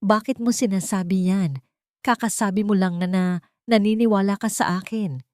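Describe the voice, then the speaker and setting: A woman's voice: mid-range voice, fairly even intonation, normal speed, a little mellow, dry recording, studio quality, lightly acted. Woman acting wronged, earnest Filipina heroine. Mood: tearful pleading. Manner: pleading, earnest. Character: distressed, wronged woman janitor with wounded dignity. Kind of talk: audiobook character acting.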